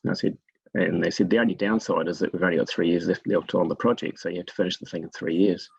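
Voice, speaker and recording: Gravelly low-voiced New man. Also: steady tone, fast, no echo. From New Zealand. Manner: rambling, many fillers and restarts. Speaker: guest, forest remote sensing specialist. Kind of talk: casual interview storytelling. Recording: dry, dull lo-fi audio.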